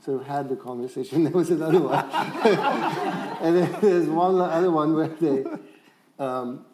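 A man's voice: on the high side, a little slow, noisy background. A man on a discussion panel, recounting an anecdote in a rambling, storytelling way.

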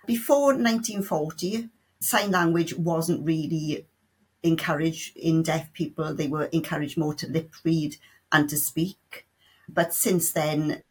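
A woman's voice: sits low, creaky, slightly rough. A middle-aged woman with a South Welsh accent, a sign language tutor answering interview questions in a chatty way, full of restarts and playful asides. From South Wales.

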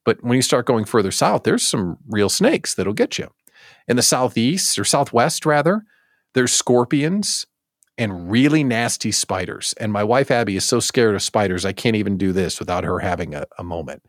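A man's voice: fairly low pitch, expressive, moderate pace, no room sound, clean studio sound. An American man hosting a casual live show, chatting playfully to his audience and repeating words for emphatic comic effect. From United States. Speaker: host of a daily live show for small business owners.

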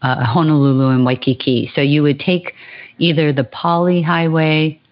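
A woman's voice: low voice, fairly even intonation, moderate pace, lo-fi sound. A woman from Hawaii, a podcast guest telling stories about her childhood and laughing easily. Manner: warm storytelling, laughs easily. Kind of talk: podcast interview.

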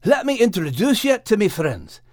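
A plucky lad full of encouragement, as a storyteller voices him, pitched well up and lively in his rises and falls. His speech is hearty and earnest, recorded close and dry. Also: moderate pace, no room sound.

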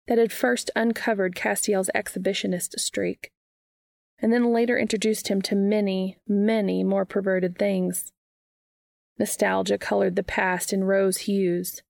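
A woman's voice: medium pitch, creaky, a little mellow, no room sound, studio quality. A woman reading fan fiction aloud as narration, in the style of an audiobook.

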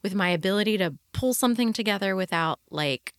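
A woman's voice: medium pitch, expressive, brisk, smooth, no room sound. Middle-aged female podcast co-host; playful, chatty, dry studio sound. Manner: chatty, playful, likes puns. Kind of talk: casual chat.

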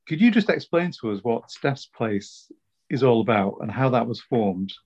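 A man hosting a podcast, asking interview questions in a friendly, measured way. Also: mid-range voice, animated, darkish tone, husky, highs cut off.

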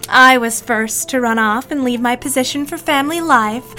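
A woman's voice: sits high, normal speed, bright, forceful tone, faint music behind. A young woman playing a bubbly, sentimental expectant housewife in an audio drama, speaking in a chirpy, effusive way. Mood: cheery, sentimental. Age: young adult.

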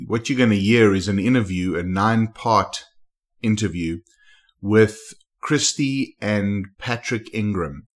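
A man's voice: lowish voice, measured pace. A man hosting a podcast, introducing guests in an enthusiastic, rambling way. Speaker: podcast host. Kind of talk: casual podcast interview.

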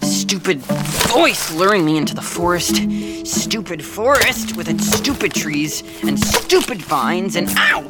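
A man's voice: high-pitched, lively intonation, measured pace, loud music behind the voice. A young man acting as an eager, naive seventeen-year-old prince in an audio drama, exclaiming and talking to himself with melodramatic exasperation. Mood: grumbling.

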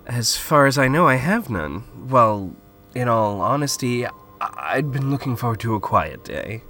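A man's voice: mid-range voice, sing-song, a little slow, pushed and bright, full character voice. An actor playing an anxious, polite, awkward man in an audio drama, hesitant and stammering. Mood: awkward, unsure.

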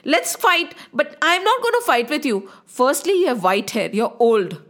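A woman as a cheeky, high-voiced young prince. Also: sing-song, moderate pace, a bit bright. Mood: taunting. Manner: defiant, sarcastic, argumentative. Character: cheeky, quick-tempered young prince talking back boldly. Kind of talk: children's mythological storytelling.

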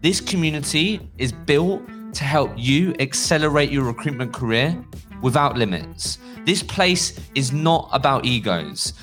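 A man's voice: mid-range voice, animated, measured pace, fairly bright tone, faint music behind. Upbeat man hosting a podcast. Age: about 30. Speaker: podcast host. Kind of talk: podcast intro and interviewing.

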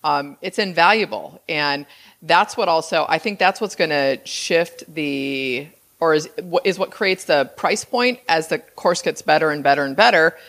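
A woman's voice: deep voice, moderate pace, a bit bright. A woman in her fifties hosting a podcast interview, chatty and enthusiastic with frequent restarts. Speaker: podcast host. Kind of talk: casual interview chat.

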